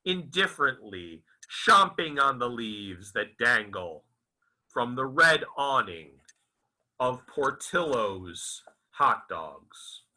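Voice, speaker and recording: Very slow, theatrical man reciting prose. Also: mid-range voice, very animated, pushed and bright, rough recording. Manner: theatrical, deliberate, halting phrasing with emphatic enunciation. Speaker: author reading his own novel aloud. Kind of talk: dramatic literary audiobook recitation.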